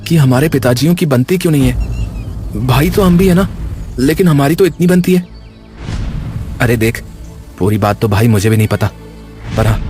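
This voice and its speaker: A man's voice: medium pitch, darkish tone, lightly acted. Man playing a chatty, loyal village lad. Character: two loyal, playful young village cousins. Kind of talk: Hindi audio story drama.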